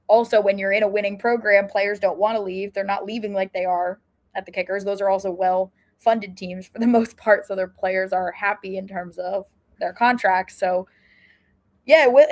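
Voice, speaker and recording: Woman casually talking sports analysis. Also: medium pitch. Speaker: podcast co-host.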